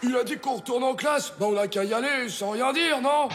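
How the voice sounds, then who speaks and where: An actor voicing a stubborn, know-it-all schoolboy in a French animated comedy, blunt and quick to contradict. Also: sits high, crowd noise, lightly acted. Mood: worried. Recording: music behind the voice.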